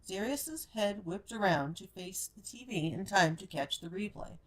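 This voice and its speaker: A woman's voice: mid-range voice, lively intonation, normal speed, low-quality recording. A woman storyteller reading scripted fiction narration aloud.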